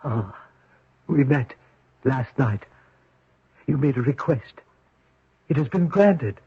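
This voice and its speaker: An actor playing a supernatural giant servant, formal, measured and deferential, in a 1970s radio drama. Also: male voice, mid-range voice, lively intonation, a little mellow, husky, full character voice. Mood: calm, ominous. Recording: roomy, muffled 1970s radio broadcast.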